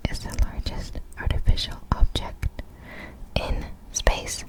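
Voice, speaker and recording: Girl whispering facts for asmr, really slow, breathy, kinda halting n apologetic. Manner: halting, apologetic reader, stumbles over hard words. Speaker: ASMR video creator.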